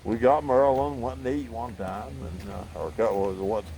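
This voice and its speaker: An older man from central North Carolina with a mountain Southern accent, telling a relaxed, folksy story at home. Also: medium pitch, normal speed, gravelly, slight background noise, poor audio. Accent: rural North Carolina and Virginia mountain Southern. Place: house indoors.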